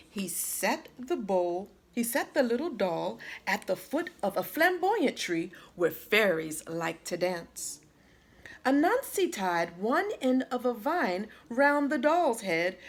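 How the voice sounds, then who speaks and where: A woman reading a children's story aloud like a teacher, with dramatic, deliberate phrasing. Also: mid-range voice.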